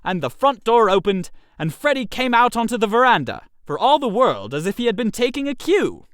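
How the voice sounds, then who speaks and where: A man reads a comic story as narrator, with theatrical expression. His pitch swings widely and sits fairly high for a man, and the recording is dry and close. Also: big pitch swings, fairly quick, fairly bright tone, no room sound. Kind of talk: animated first-person comic story narration.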